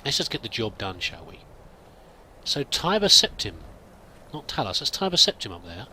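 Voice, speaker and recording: A man's voice: lowish voice, sing-song, moderate pace, bright, forceful tone, a little hiss or hum. A man roleplaying a pilgrim in a game, musing and thinking aloud in a hesitant, wandering way. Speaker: let's play roleplayer. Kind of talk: in-character game commentary.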